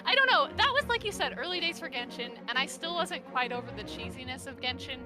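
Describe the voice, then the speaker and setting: A high-voiced woman chats informally over clearly audible music. Her pitch moves around a lot, and she comes across as a giggly co-host in a relaxed conversation. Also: fast. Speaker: co-host discussing video game quests. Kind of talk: casual chat.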